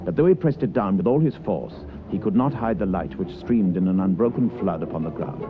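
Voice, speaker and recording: A man's voice: deep voice, animated, fairly quick. A man giving dramatic literary narration in an old radio broadcast, with a tinny sound and music behind.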